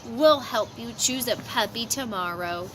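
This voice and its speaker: Woman reading as measured, high-voiced turtle parent. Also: lightly acted. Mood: approving. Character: calm, cautious turtle parents, mother and father. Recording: noisy outdoor amateur recording, ambient rumble.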